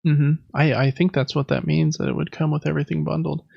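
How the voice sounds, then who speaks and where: A man's voice: on the high side, level tone, fast, creaky, breathy edge, no echo. A man hosting a casual gaming podcast, talking informally and with enthusiasm about games. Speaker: podcast host. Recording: dull, lo-fi, close dry sound.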